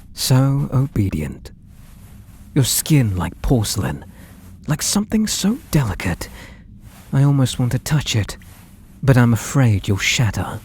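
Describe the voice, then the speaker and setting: A British man playing an obsessive, possessive artist in love, speaking softly and breathily with tender menace in an ASMR roleplay. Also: on the low side, a little hiss or hum, heavily acted. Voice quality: soft and breathy, often dropping to whispers. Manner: tender, slow, menacingly intimate.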